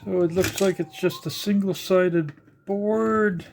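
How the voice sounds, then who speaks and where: An older electronics hobbyist giving a casual, unscripted teardown narration, halting and musing aloud while working with their hands. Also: mid-range voice, fairly even intonation, gravelly, darkish tone, a little hiss or hum. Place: indoors at a workbench.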